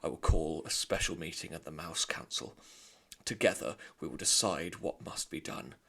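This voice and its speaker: A man voicing a stern, pompous father mouse who blusters and declaims loudly. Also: extremely low pitch, normal speed, creaky, pitched below the performer's own voice, heavily acted. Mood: blustering.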